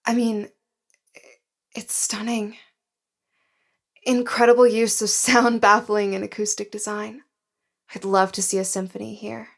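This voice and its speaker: An actress playing a frightened, awed woman explorer in an audio drama, describing her surroundings in hushed, halting phrases. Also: medium pitch, level tone, creaky. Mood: awed, cautious. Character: frightened woman explorer guided over radio, awed and anxious.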